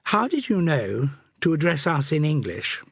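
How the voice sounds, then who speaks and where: A man's voice: a little high, huge pitch swings, moderate pace, no room sound, studio quality, pitched above the performer's own voice, exaggerated voice. A man doing a cool posh young Englishwoman. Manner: crisp, poised, upper-class. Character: cool clever young upper-class English woman.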